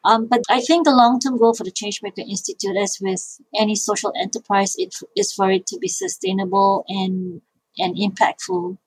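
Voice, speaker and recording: A woman's voice: medium pitch, fairly even intonation, normal speed, slightly rough, dry recording, poor audio. Thoughtful Malaysian-raised woman, dry sound. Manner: thoughtful, frequent restarts and repeated words. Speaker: guest, social enterprise founder and IP lawyer. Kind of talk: interview answers.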